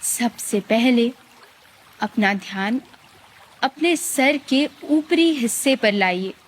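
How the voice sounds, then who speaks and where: A woman guides a meditation, giving calm, gentle instructions with long pauses. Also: on the high side, a little hiss or hum.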